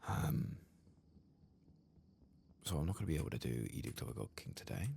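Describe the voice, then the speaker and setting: Male ASMR gamer, extremely low and gravelly, soft-spoken to whispering, faint background noise. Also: very animated. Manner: unhurried, hushed, often slipping into breathy whisper. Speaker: host of a gaming ASMR channel.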